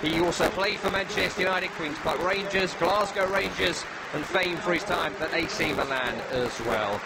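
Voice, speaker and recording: A man's voice: on the high side, normal speed, a loud crowd. A man hosting live radio football coverage, presenting to listeners over stadium noise. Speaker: match host and presenter. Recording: very noisy broadcast with stadium ambience behind. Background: loud outdoor stadium ambience.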